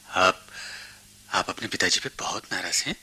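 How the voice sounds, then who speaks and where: A kindly, hesitant hotel worker in a radio drama. His voice is low and very bright and forceful, with a raspy edge, yet his manner stays courteous and consoling. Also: moderate pace, full character voice. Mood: gently consoling. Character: polite, hesitant, kindly male hotel worker seeking a job. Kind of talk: Hindi radio play acting.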